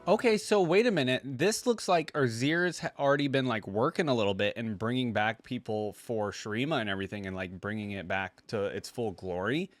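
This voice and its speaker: A man's voice: mid-range voice, brisk, hardly any pauses, no room sound, soft background music. A man hosting a reaction video, musing aloud in informal, casual commentary.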